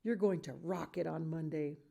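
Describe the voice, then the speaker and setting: A woman's voice: low-pitched, normal speed, creaky, warm tone. A loving, busy mother from a storybook, acted by a woman reader. She speaks up emphatically, firm and affectionate at once. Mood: fond, pleased. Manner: emphatic, affectionate scolding and teasing.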